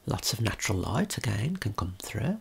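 A tour guide giving a gentle, unhurried ASMR tour in a breathy whisper, explaining things as they go. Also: mid-range voice, sing-song.

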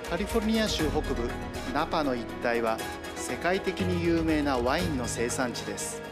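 A man's voice: on the high side, expressive, normal speed. Male news reporter over prominent music. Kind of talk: scripted news narration. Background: background music.

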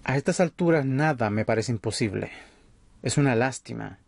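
A man playing an authoritarian vampire leader in an audio roleplay, speaking in a measured, condescending way. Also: medium pitch, expressive, creaky, dry recording, clean studio sound. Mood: cold, composed. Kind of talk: ASMR audio roleplay acting.

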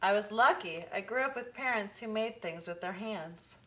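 A woman reading a children's picture book aloud, with expressive, deliberate phrasing. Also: medium pitch, slight background noise. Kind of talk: storybook read-aloud for children.

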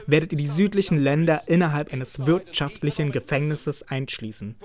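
A man's voice: a little high, a little mellow. A man delivering a voice-over translation of a political speech as an interpreter. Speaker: interpreter voicing a parliament speech in German translation.

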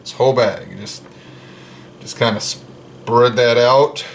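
Slow, easygoing man cooking at home. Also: mid-range voice, creaky, a little hiss or hum. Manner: slow, relaxed, chatty with frequent fillers. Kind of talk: casual cooking narration. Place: home kitchen with open windows.

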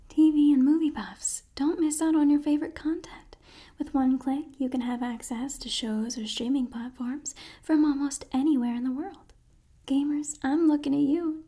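Breathy, whispering young ASMR woman. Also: high voice. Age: 20s. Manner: slow, hushed, repeats words softly. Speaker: ASMR host. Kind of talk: ASMR role-play and sponsor read. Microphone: close microphone.

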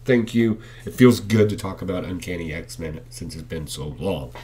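A man in his thirties talks straight into a webcam from his living room. His voice sits a little low, and he rambles with fillers while giving enthusiastic, blunt opinions. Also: expressive, moderate pace. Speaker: comic book reviewer. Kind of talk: casual comic review vlog. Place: living room at home, indoors. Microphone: webcam facing him at close range.

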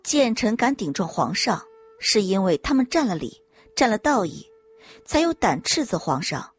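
A woman reading scripted dramatic audiobook narration in an expressive storytelling manner. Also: mid-range voice, normal speed, slightly rough, faint music behind.

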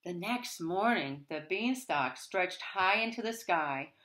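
A woman's voice: on the low side, animated, slow, background noise. A middle-aged woman reading a picture book aloud to young children, expressively and with dramatic emphasis. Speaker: storybook reader for children. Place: small wood-panelled room at home, indoors.

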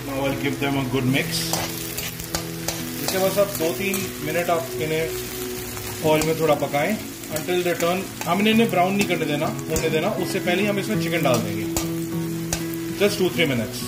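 A man's voice: a little high, lively intonation, measured pace, pauses often. A man walking viewers through a home cooking recipe in a casual, chatty way, mixing in English phrases and calling them guys. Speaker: cooking channel host. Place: home kitchen at a gas stove. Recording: echoey kitchen with loud background music. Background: sizzling oil and stirring, music.

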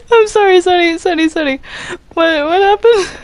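A woman's voice: extremely high pitch, unhurried, no room sound. A woman chatting casually while gaming, giggly and rambling as she reacts aloud. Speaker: player in a Minecraft roleplay group.

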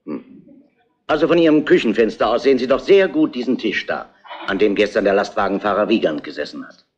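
An actor playing a gruff, insistent police inspector in a retro crime radio play. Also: male voice, lowish voice, fairly quick, lo-fi sound. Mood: probing. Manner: brusque, insistent questioning. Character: gruff, grumbling male police inspector in charge.

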